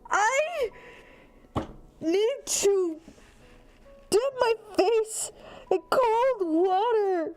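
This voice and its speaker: A woman livestreaming visual novels, switching between excitable gushing reactions and expressive read-aloud narration. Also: very high voice, very slow, pauses often. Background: game music.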